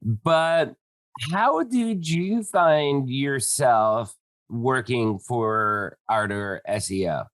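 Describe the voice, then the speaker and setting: A man's voice: mid-range voice, lively intonation, drawn-out words, fairly bright tone, dry recording. A man hosting a podcast interview, chatty and enthusiastic with a joking manner. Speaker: podcast host. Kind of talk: casual interview.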